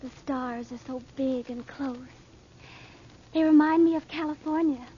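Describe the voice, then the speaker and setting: A woman's voice: sits high, steady tone, unhurried. An actress playing a romantic, easily flustered American teenage girl in an old radio drama, gushing and wistful. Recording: dull, noisy old radio recording.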